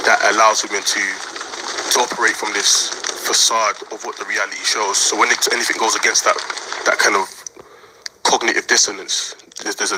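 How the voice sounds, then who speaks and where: A man in his twenties from Nigeria, co-hosting a podcast and speaking in a measured, hesitant way. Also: lowish voice, background noise. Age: 20s. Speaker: podcast co-host. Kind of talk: casual panel discussion.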